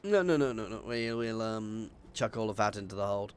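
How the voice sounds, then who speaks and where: A man's voice: fairly low pitch, animated, moderate pace, clear, resonant tone. A man with a cold, narrating and reading game text, stumbling now and then. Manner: reads game text aloud with stumbles and apologies. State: congested from a cold. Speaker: gaming channel host. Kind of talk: let's play narration and commentary.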